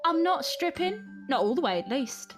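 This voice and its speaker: Casting: actress as bold teasing factory girl, youthful, high-ish voice, period audio drama, music underneath. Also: very animated, normal speed. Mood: brash, coaxing. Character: brash, bold, teasing young Victorian match girl.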